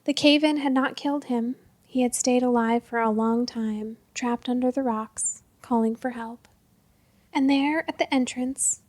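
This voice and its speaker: A woman narrating a literary short story in a measured, expressive storytelling manner. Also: a little high.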